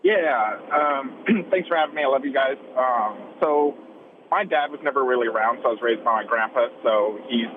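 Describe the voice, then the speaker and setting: A man's voice: mid-range voice, moderate pace, slight background noise. Man in his thirties on a tinny phone line. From Texas. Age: 30s. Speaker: caller. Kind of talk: phoned-in chat.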